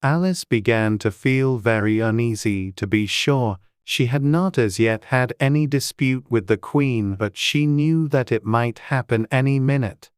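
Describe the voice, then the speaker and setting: Audiobook narrator: mid-pitched, crisp and smooth, scripted storybook reading in a dry, close recording. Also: a little slow, hardly any pauses, no echo.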